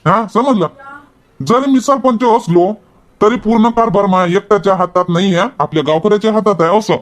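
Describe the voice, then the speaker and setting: A man acts out a folksy village man from a homemade comedy cartoon. His voice is pitched high for a man. He talks in chatty, colloquial banter with a Varhadi Marathi accent. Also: fairly quick, lightly acted.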